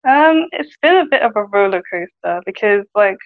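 A woman's voice: mid-range voice, lively intonation, normal speed, dry recording, narrow, tinny audio. A woman speaking hesitantly, with many likes and ums, as a guest on a video call panel.

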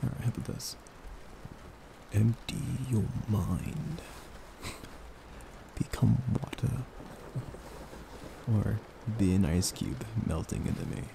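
A young man performing soft, intimate ASMR as a gentle boyfriend, speaking in a hushed, tender, coaxing way. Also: fairly low pitch, lively intonation, slow pace, long pauses. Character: gentle protective boyfriend soothing partner to sleep. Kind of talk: soft intimate ASMR sleep-aid roleplay. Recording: clear background noise, ambient hiss.

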